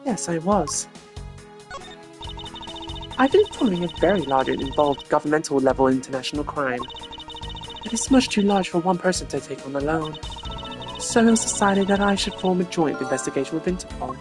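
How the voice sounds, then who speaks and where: Proud, intense female investigator acted by a woman, lowish voice with big melodic swings, haughty, video game role. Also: animated, brisk, pauses often, music in the background. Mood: guarded. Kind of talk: amateur video game dialogue voicing.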